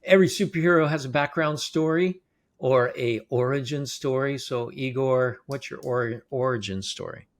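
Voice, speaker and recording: A man's voice: mid-range voice, moderate pace. A man hosting a podcast, opening with a scripted intro and then chatting in an upbeat, conversational way. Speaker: podcast host. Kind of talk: scripted show intro, then casual interview.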